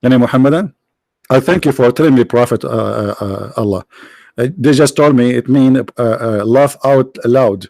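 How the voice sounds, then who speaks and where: A man whose first language is Arabic hosting a live stream, talking sarcastically, halting often on fillers and now and then breaking into mock singing. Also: medium pitch, creaky, darkish tone, no room sound. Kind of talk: mocking religious debate stream.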